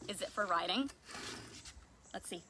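A woman's voice: medium pitch, animated, unhurried, background noise. A woman in her thirties hosting a children's read-aloud outdoors, theatrical and playful, full of questions. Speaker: children's librarian, storytime host. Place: outdoors in a leafy backyard.

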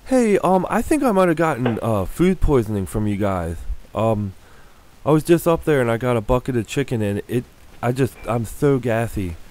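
Hesitant young man, animated pitch, noisy line. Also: normal speed. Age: young adult. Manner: hesitant, bumbling, deadpan. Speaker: prank caller. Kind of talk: prank phone call, feigned confused customer. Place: small cluttered room indoors. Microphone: microphone on a stand, close.

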